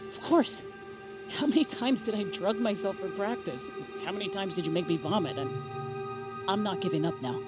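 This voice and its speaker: A woman's voice: mid-range voice, lively intonation, moderate pace, over loud music, natural voice. A woman playing a young, anxious but determined friend in an audio drama, earnest and steadying. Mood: anxious determination.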